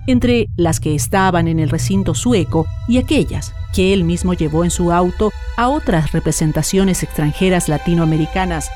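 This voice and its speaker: A woman reading scripted documentary narration in a measured, solemn manner. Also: on the low side, a little mellow.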